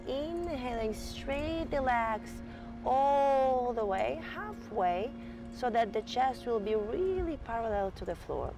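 A woman teaching yoga, giving slow, soft step-by-step cues on breath and stretching, with a voice. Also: a little high. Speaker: yoga instructor. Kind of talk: guided yoga instruction. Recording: voice over loud music.

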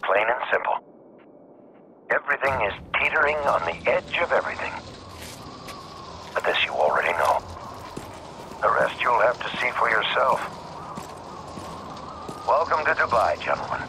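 An actor playing an ominous renegade colonel in a video game, speaking over a radio in an oratorical, philosophical manner. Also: male voice, mid-range voice, sing-song. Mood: imposing, measured. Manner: deliberate, pompous, oratorical. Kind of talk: dramatic video game acting. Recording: radio transmission with faint noise.

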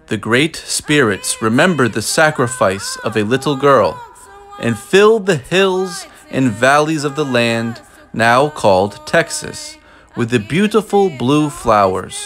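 A man reading a children's picture book aloud as a narrator, in measured phrases with deliberate pauses. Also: mid-range voice, expressive, fairly bright tone, faint music behind. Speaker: storybook narrator.

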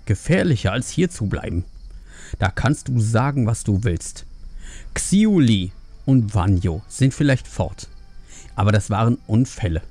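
A man's voice: fairly low pitch, lively intonation, unhurried, dark tone, no room sound, faint music behind. A man voicing an angry, stubborn, overprotective middle-aged pandaren father in a fantasy audiobook, vehement, snappish and scornful. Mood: angry, alarmed.

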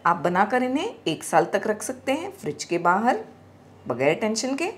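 A middle-aged woman hosting a home cooking channel, calmly walking through each step of a recipe in her kitchen. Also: medium pitch, moderate pace, slight background noise. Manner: calm, instructive, gently explaining.

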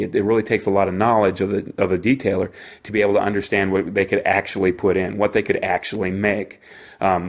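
A man with a fairly low voice hosts a podcast on his own. He talks informally and haltingly, working through his thoughts aloud and often circling back to rephrase. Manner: halting, rambling, thinking aloud. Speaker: podcast host; engineer. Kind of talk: informal solo podcast monologue.

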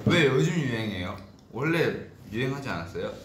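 A man's voice: medium pitch, very animated, a little fast, slight background noise. A young man hosting a casual livestream, reading viewer comments aloud and teasing his bandmates. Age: young adult. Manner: playful, teasing, reads chat aloud. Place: small indoor room at a table. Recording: roomy room echo.

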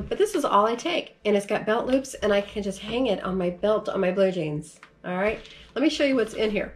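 A middle-aged woman with an American accent giving a casual demonstration in a chatty, folksy, informal way, as if for her own vlog. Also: mid-range voice. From United States. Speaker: vlogger. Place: large furnished room at home, indoors.

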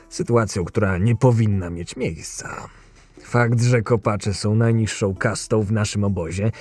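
A man's voice: on the low side, darkish tone, breathy edge, full character voice. A man playing a proud, short-tempered, cynical camp enforcer who narrates his own tale in a fantasy audio drama, sardonic and menacing.

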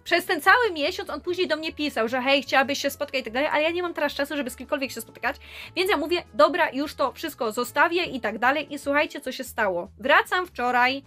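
A young woman vlogging to camera in a small room, telling a story in an animated, chatty way. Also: sits high, quick pace, faint music behind. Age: young adult. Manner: animated, chatty, gesturing with her hands.

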